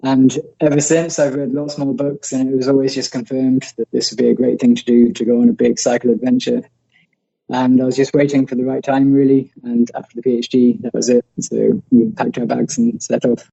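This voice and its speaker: English guy, midlands accent, gravelly deep-toned voice, flat delivery, lo-fi call sound. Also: a little fast. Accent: English, West Midlands. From England. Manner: relaxed, thoughtful, some hesitations. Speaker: guest, cycle tourer. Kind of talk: casual interview talk. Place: remote call while travelling. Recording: muffled lo-fi call audio.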